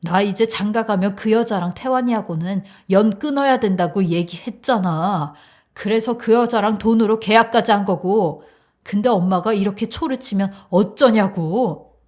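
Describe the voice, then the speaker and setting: A woman's voice: medium pitch, unhurried, darkish tone, natural voice. Woman voicing a desperate, exasperated grown son. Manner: pleading, scolding. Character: desperate, exasperated adult son, dependent bridegroom pleading.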